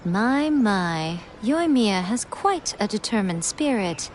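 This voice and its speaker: A woman's voice: medium pitch, animated, takes it slowly, slight background noise. Sly, lilting lady publisher. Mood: coy, reassuring. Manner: playful, coy, self-assured. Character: sly, teasing lady publisher and shrine guardian. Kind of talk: video game voice acting.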